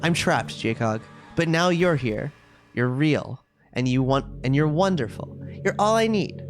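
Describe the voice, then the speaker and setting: Visual novel schoolgirl, read by a man: cutesy, confiding, clingy, very animated delivery over game music. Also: fairly high pitch, measured pace. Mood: lonely pleading. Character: sweet, possessive, self-aware video game schoolgirl. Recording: music playing behind the voice.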